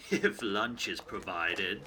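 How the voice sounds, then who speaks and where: A man's voice: low voice, animated, very slow, exaggerated voice. An actor playing a nonchalant, greedy businessman in an audio drama. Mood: nonchalant, amused. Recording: clearly noisy background with clicks.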